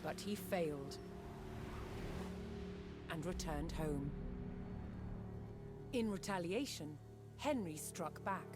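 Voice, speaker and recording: A woman reading scripted historical documentary narration in a measured, dramatic storytelling manner. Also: fairly low pitch, expressive. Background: loud cinematic background music.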